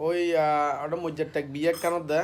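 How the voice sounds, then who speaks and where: A man's voice: a little high, level tone, a little fast. A man with a making a casual, teasing and persistent prank phone call. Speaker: prank caller. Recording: clearly noisy background.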